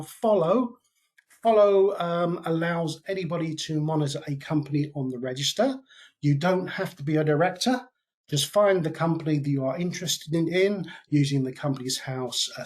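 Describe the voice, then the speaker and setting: A man with a British accent presenting an informational webinar in a conversational way, with frequent ums and uhs. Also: a little high.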